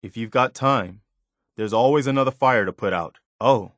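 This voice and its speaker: An actor playing an earnest, loyal militia leader in a video game, speaking in a sincere, measured, conversational way. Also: male voice, medium pitch, fairly bright tone, no room sound, clean studio sound, natural voice. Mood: earnest, resolute. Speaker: video game companion character. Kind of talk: acted video game dialogue lines.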